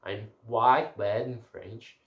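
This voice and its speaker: A man's voice: lowish voice, slow, echoey room, highs cut off. A man whose first language is Portuguese, speaking a casual language-learning diary and often restarting and searching for words.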